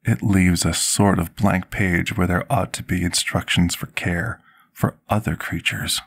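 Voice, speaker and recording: A man playing a devoted, apologetic potion master in a fantasy ASMR roleplay, speaking in a formal, measured, deferential way with a husky, gravelly voice. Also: low-pitched, fairly even intonation, full character voice. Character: devoted, apologetic male potion master tending a dragon patient.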